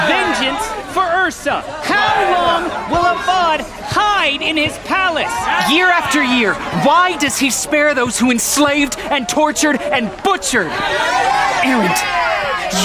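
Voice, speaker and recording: An actor playing a fanatical street agitator in a game, declaiming with passion and calling out for vengeance. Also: male voice, extremely high pitch, animated, slow, exaggerated voice. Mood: outraged. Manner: declaiming, impassioned. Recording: loud crowd noise around shouting voice.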